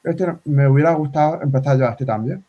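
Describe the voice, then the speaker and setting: A man in his forties whose first language is sign language, talking in a casual interview with many halting restarts. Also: fairly high pitch. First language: Spanish Sign Language. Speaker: guest. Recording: slightly dull lo-fi sound.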